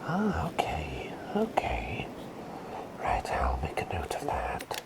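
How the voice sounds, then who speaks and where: A man acts out a gentle, absent-minded male plague doctor for an ASMR roleplay. He whispers slowly, drifting up and down in a very animated way. His manner is polite and rambling, softly repeating himself. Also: rumbling low, exaggerated voice. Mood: gentle, whimsical. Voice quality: breathy whisper. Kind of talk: soft-spoken ASMR roleplay.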